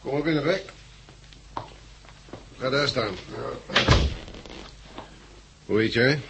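An actor in a 1960s radio drama playing a terse, authoritative leader of a rough gang. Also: male voice, medium pitch, slow, lightly acted. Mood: stern, threatening. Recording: noisy old 1960s radio recording.

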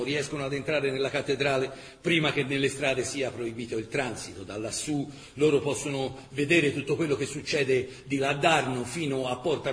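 A male actor is a measured chronicler narrating radio theatre. His fairly high voice is solemn and deliberate, set in a reverberant room with some noise. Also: a bit bright, lightly acted.

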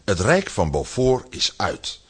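A man's voice: fairly low pitch, huge pitch swings, takes it slowly, fairly bright tone, slight background noise. A man giving dramatic scripted narration as a storyteller.

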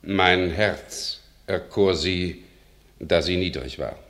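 A deep-voiced devoted knight. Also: male voice, slow pace, a bit bright, heavily acted. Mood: earnest. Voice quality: earnest, grave. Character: devoted noble knight, earnest suitor. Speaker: actor in a radio play. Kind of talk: classic verse radio drama.

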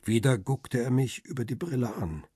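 An actor playing a middle-aged photographer who narrates his own crime story in a measured, wry, reflective way. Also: mid-range voice, expressive, dark tone, slightly rough, no room sound, studio quality. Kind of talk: first-person radio drama narration.

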